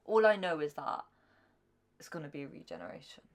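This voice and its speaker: A young woman co-hosting a reaction show, chatting casually and informally in a halting way. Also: fairly low pitch, vocal fry. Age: young adult. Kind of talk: casual chat. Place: small furnished room at home, indoors. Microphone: large desk microphone.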